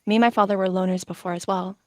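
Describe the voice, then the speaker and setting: A woman's voice: mid-range voice, fairly even intonation, rapid-fire, natural voice. Woman playing an anxious, earnest she-cat. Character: anxious, kind-hearted young she-cat of a wild clan.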